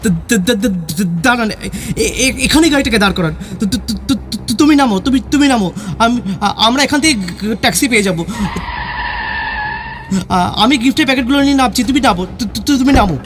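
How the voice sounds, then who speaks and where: A man playing a guilty, fearful husband in a horror audio drama, halting and stammering nervously. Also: extremely high pitch, animated. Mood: nervous, urgent. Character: guilty, evasive husband gripped by fear. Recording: loud car sound effects behind. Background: car noise and skidding.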